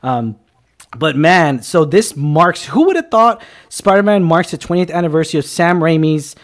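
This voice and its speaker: Male host, twenties, highish voice, excitable and rambling, slangy casual chat-show delivery. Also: moderate pace. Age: 20s. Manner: excitable, rambling, repeats himself, slangy with "dude" and "man". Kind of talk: casual panel chat on film news.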